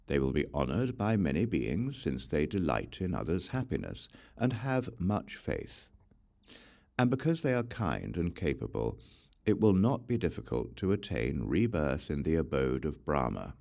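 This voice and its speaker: Male audiobook reader, very deep voice, lively intonation, solemn measured delivery. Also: creaky. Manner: slow, solemn and deliberate. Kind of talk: measured scripture reading.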